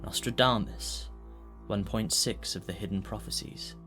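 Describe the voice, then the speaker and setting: A man playing an ominous reciter of prophecy in an audio drama, solemn, measured and portentous. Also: fairly low pitch, close to an everyday voice. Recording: faint music behind the voice.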